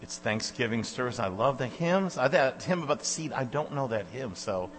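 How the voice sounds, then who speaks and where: A man's voice: medium pitch, animated, moderate pace. A man in his forties from Michigan, a guest preacher giving a rambling, informal introduction to a church congregation. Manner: loud, chatty, rambling with many fillers. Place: church sanctuary. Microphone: handheld or stand microphone. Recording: echoey church hall with mains hum.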